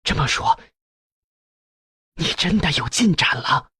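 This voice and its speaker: Male narrator as ailing old professor, husky, slightly strained, slow, eager, audiobook character. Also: on the high side, lively intonation, bright, forceful tone, no room sound, clean studio sound, exaggerated voice. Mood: probing.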